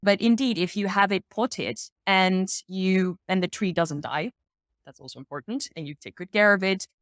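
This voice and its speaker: A woman's voice: mid-range voice, moderate pace, no room sound, studio quality. A woman explaining sustainability as an expert guest in a casual, upbeat discussion, thinking aloud as she goes.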